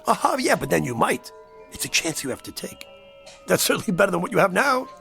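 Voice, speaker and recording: A man's voice: sits high, sing-song, quick pace, sharp tone, faint music behind, exaggerated voice. An actor playing a sly, boastful devil posing as a genial old newspaperman in a radio drama, courtly and theatrical. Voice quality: creaky, gravelly. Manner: courtly, theatrical, teasing, self-satisfied.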